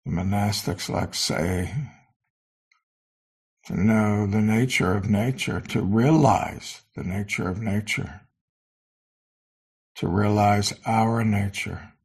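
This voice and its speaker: Slow, gravelly man guiding meditation. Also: fairly low pitch, fairly even intonation, darkish tone. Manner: slow, sparse phrasing with long pauses. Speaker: meditation teacher. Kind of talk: guided meditation.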